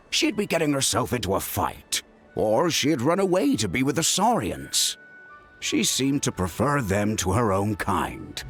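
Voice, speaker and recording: An actor playing a stern but caring middle-aged father, world-weary and emphatic, with a gravelly voice, in a video game. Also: fairly low pitch, fairly bright tone, heavily acted. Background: background music.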